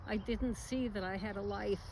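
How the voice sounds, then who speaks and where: An elderly woman from New York City, around ninety, speaking reflectively in a recorded interview, with many fillers and pauses. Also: mid-range voice, fairly even intonation, vocal fry, background noise. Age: about 90. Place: at home.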